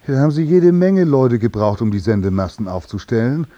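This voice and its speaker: An actor as a grumbling middle-aged man, animated pitch. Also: brisk, muffled recording, natural voice. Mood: resigned, bitter. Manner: grumbling, indignant, sweary. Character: grumbling, hot-tempered unemployed middle-aged metalworker. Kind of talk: naturalistic radio drama acting.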